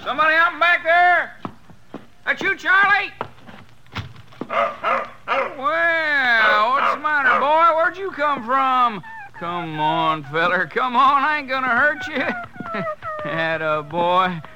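An actor playing a kindly middle-aged cafe owner with a folksy Western drawl in a 1950s radio drama. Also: male voice, very high-pitched, very animated, slow pace, pauses often, heavily acted. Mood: kindly, coaxing. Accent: American Western drawl. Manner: folksy, rustic, chuckling speech. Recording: noisy old radio transcription.

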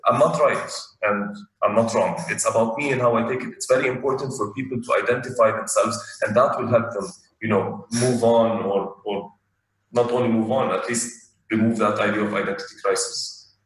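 A man's voice: lowish voice, steady tone, a little fast, a bit bright, heavy reverb, distant mic, poor audio. A young man from Abu Dhabi, a guest in a casual talk, thinking aloud and repeating words as he goes. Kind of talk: casual conversational interview.

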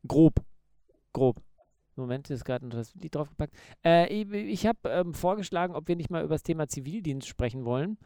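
Podcast co-host, male: dark, relaxed tone with a gravelly edge, loose banter. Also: fairly high pitch, a little fast, no echo, clean studio sound. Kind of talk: casual chat.

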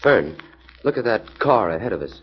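A man's voice: fairly low pitch, very animated. An actor playing a decisive young male scientist hero in a 1940s radio drama. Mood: urgent. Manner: urgent, brisk commands. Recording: dull 1940s radio recording.

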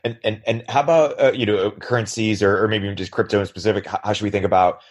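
A man's voice: fairly low pitch, steady tone, quick pace. A man interviewing a guest, asking questions with stumbles and hedges. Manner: stammering, hedging questions.

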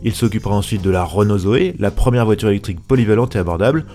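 Eager podcast host guy, low voice, stammers a lot, bit of music under it. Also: expressive, racing, mellow. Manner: enthusiastic, stammers and restarts a lot. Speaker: podcast host, car journalist. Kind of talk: podcast interview.

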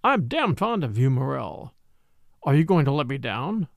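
A woman voicing a bitter, sardonic English gentleman with a clipped, dry, mocking manner, in a storybook reading. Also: a bass voice, sing-song, moderate pace, gravelly, no room sound, exaggerated voice. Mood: bitter, demanding.

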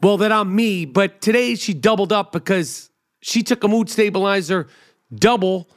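A man with a notably high voice talks through a loose comedic monologue as a podcast host. He rambles, swears freely and pokes fun at himself. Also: normal speed. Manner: rambling, profane, self-mocking.

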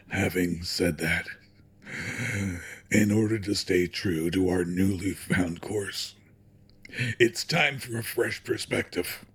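Male actor for dying elderly patriarch in audio drama. Low, badly husky voice, grave and dignified delivery. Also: full character voice. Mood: solemn resolve. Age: older. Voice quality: husky, breathy, with a cough. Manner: grave, formal, deliberate speech. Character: dying old company chairman and father, solemn, remorseful.

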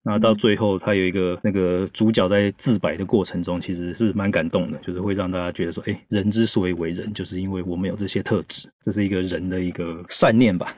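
A man's voice: low-pitched, steady tone, fast talker, few pauses, a little mellow, dry recording. A man with a Taiwanese Mandarin accent hosting a casual discussion about books. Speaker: host.

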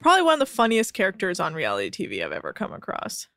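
A woman's voice: medium pitch, huge pitch swings, very fast, a bit bright, no echo, studio quality. A woman co-hosting a casual podcast chat, reading posts aloud with amused disbelief. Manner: amused, incredulous, laughing. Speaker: podcast co-host.

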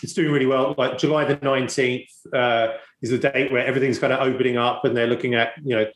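Male interview host, mid-range voice, conversational and halting, echoey room sound. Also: medium pitch, level tone. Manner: halting, repeats words, frequent "you know". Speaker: podcast host.

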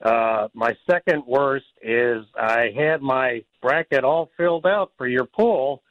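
A man's voice: medium pitch, takes it slowly, no room sound. A man explains himself hesitantly and apologetically. His voice comes through a dull, slightly lo-fi line.

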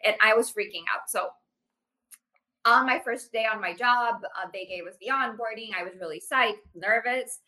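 A woman in her late thirties, a sales manager talking casually as a podcast guest, animated and full of "like". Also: on the high side, normal speed, poor audio. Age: 30s. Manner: animated, uses "like" often. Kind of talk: casual interview chat.

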